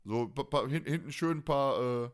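A man's voice: mid-range voice, normal speed. A man hosting a podcast in casual, colloquial, jokey chat. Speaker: podcast host.

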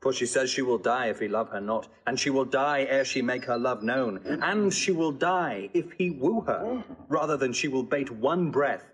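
Husky, melodramatic young gentleman. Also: mid-range voice, expressive, moderate pace, low-quality recording. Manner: melodramatic, knowing. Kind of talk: classical Shakespearean stage acting.

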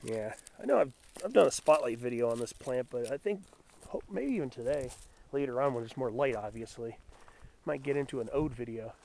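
A man with a cold-roughened, raspy voice chats casually as he walks a forest before dawn, naming plants to his handheld camera. His pitch is middling for a man, and the outdoor camera audio carries clear background noise. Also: fairly quick. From Southern California. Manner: musing, relaxed botanical naming. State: getting over a head cold, deeper and raspier than usual. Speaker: nature vlogger. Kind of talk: casual walking commentary.

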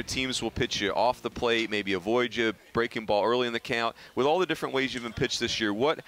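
A man's voice: lowish voice, fairly bright tone. A man asking long, chatty questions as a broadcast analyst in a sports interview.

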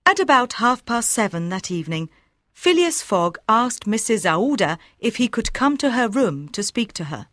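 A woman reading scripted story narration for learners in a measured, clearly enunciated way. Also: fairly high pitch, big pitch swings, a bit bright, no echo, clean studio sound. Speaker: audiobook narrator.

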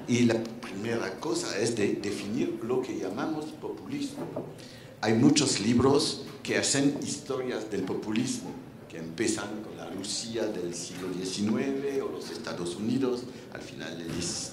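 A man's voice: medium pitch, a little mellow. Older french guy on a panel, careful word-hunting, roomy hall, faint hiss. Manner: careful, searching for words, with restarts. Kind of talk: round-table discussion. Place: large stage, indoors. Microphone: handheld.

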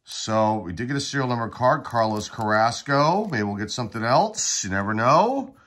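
A man's voice: mid-range voice, normal speed. Congested man rattling off names. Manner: relaxed, rattles off player names. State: congested, getting over a cold. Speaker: card-collecting channel host. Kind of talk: casual pack-opening commentary. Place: indoors at a table.

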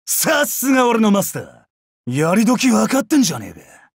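An actor playing a bloodthirsty, boastful samurai berserker in an anime game, rough and brash, swinging from growls to shrieks. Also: male voice, high voice, wildly swooping pitch, normal speed, no room sound, clean studio sound, full character voice. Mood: excited approval. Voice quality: rough, raspy. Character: bloodthirsty, boastful, battle-crazed male samurai berserker, fiercely loyal. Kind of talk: anime game battle voice lines.

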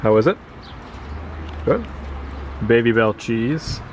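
A man's voice: medium pitch, animated, slow pace, a little hiss or hum. Dry, joking vlogging man. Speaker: travel vlogger. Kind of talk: casual chat.

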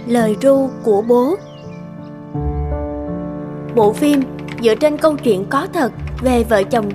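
A woman reading a scripted narration to introduce an animated film. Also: high voice.

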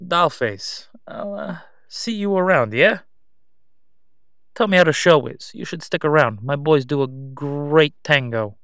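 A man's voice: fairly high pitch, expressive, unhurried, fairly bright tone, clear, resonant tone, no echo, clean studio sound. A man playing a cocky, wisecracking crime boss with sneering, folksy gangster patter in an audio roleplay. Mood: gloating, mocking.

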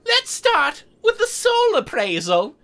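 Visual novel demon girl, played by a man: high, coy, prim, teasing, measured delivery, music behind. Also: huge pitch swings, bright, forceful tone, exaggerated voice. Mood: coy, businesslike. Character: teasing, prim young female demon dealmaker.

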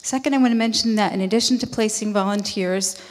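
A woman's voice: mid-range voice, fast talker. Woman reading notes in a reverberant hall. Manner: steady, reads from notes. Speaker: nonprofit representative. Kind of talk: reading a prepared statement at a public meeting. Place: large meeting hall. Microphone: handheld mic.

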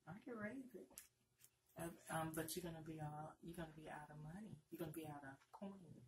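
A woman's voice: low-pitched, background noise. A middle-aged American woman doing a casual ASMR roleplay as a flirty, playful blackjack dealer. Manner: flirty, playful, unhurried with drawn-out phrases.

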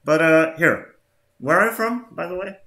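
A man's voice: fairly high pitch, normal speed, echoey room, noisy background. A young man from Kosovo, a native Albanian speaker with an Albanian accent, chatting playfully with strangers over a headset at home. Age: young adult. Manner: playful, animated, swears when flustered. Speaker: YouTuber. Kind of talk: casual video chat. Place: small room at home.

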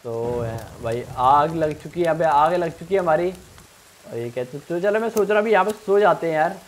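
A man's voice: fairly high pitch, expressive, moderate pace, a little hiss or hum. A young man giving casual let's play commentary, thinking aloud and repeating himself as he plays. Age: young adult. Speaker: gaming streamer.

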